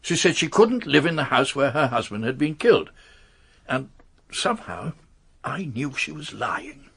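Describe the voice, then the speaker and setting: Anxious English gentleman, husky and animated. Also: medium pitch, normal speed, heavily acted. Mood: rueful, confiding. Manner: hesitant, stammering, formal. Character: anxious, confessing upper-class English gentleman. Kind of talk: radio drama acting.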